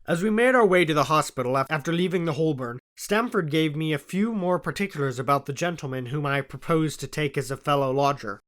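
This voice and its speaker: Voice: mid-range voice, fairly quick, hardly any pauses. A storybook narrator reading a classic novel aloud in an amateur scripted style. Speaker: audiobook reader.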